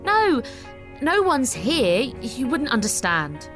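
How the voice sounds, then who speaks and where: A woman's voice: high voice, sing-song, slow pace, over music, voice pushed up, full character voice. A woman narrator voicing an impatient, defensive young college woman in an audiobook. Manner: defensive, dismissive.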